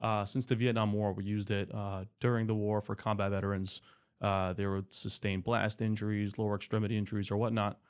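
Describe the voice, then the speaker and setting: A man in his thirties, a doctor and podcast guest, explaining his work in a relaxed, chatty way with many fillers. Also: fairly low pitch, brisk, smooth. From Spain, raised in California. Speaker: anesthesiologist guest. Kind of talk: casual interview talk.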